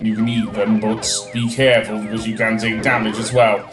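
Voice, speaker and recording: A man giving casual, rambling video game commentary with a halting delivery. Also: on the low side, flat delivery. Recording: roomy echo, faint music behind.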